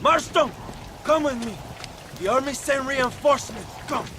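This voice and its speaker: A man's voice: very high voice, normal speed, sharp tone, a little hiss or hum. A man playing a flamboyant, vain Mexican revolutionary commander in a video game, with a Mexican accent and theatrical delivery. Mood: brisk, grand. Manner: grandiose, theatrical. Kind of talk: video game cutscene acting.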